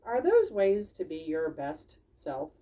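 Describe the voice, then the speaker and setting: A wise female red fox from a children's storybook, acted out by a woman reader. She is unhurried and gently teacherly, with expressive rises and falls in pitch. She sounds a touch away from the microphone. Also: recorded from a distance, full character voice. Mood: gently chiding.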